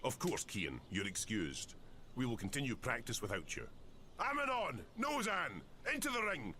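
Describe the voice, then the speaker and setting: An actor playing a stern, cynical older sword master who scolds bluntly in a fantasy game. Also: male voice, mid-range voice, huge pitch swings, normal speed, sharp tone, a little hiss or hum. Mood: scornful, commanding.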